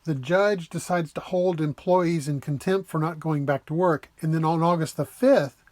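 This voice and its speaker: Male lecturer, slightly high voice, close dry sound, methodical plain-spoken explainer read. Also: no echo. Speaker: lecturer explaining a legal case.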